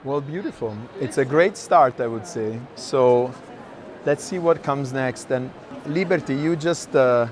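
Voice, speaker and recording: A man's voice: medium pitch, measured pace. A man hosting a casual conversation about art, appreciative and thinking aloud as he speaks. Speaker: host, curator. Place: art fair, on the move. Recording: outdoor-like urban background noise.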